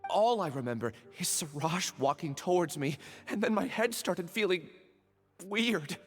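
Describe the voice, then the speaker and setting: A man voicing a shaken, frightened young male researcher in a video game, talking urgently and haltingly, over game music. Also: sits high, animated. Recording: game audio under music.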